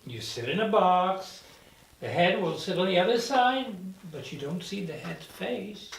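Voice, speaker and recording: A woman playing a hesitant, eager male roommate in hell at a drama table read, explaining things in a halting way. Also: lowish voice, lively intonation, creaky. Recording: roomy echo, distant mic, faint noise.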